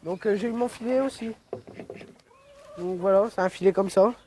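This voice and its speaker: High-voiced young man with a cold. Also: moderate pace, a little mellow, slight background noise. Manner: rambling, many fillers. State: has a cold. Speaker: vlogger. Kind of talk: casual outdoor vlog. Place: outdoors in a rural yard. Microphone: phone camera.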